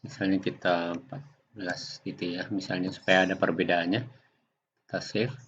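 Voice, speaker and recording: Man, lowish voice, halting step-by-step tutorial talk, muffled lo-fi home recording. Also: little pitch movement, a bit bright, a little hiss or hum. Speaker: software tutorial presenter. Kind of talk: unscripted screen-recording tutorial.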